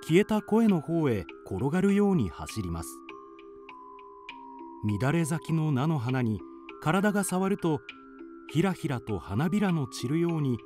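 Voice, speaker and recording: A man's voice: fairly high pitch, very animated, unhurried, warm tone, soft background music. A man narrating a children's radio drama in scripted storybook style.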